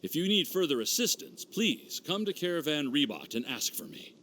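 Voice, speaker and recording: A dutiful game soldier, bright and forceful at a middle pitch. He is formal and earnest, with lively intonation and crisp, respectful delivery. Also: moderate pace, a little hiss or hum. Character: dutiful, earnest military subordinate officer. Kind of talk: video game voice acting.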